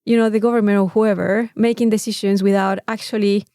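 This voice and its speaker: A woman's voice: mid-range voice, little pitch movement, brisk, dark tone, no room sound, studio quality. A woman with a Mexican accent, co-hosting a casual podcast chat in an informal, rambling, sweary way. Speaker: podcast co-host.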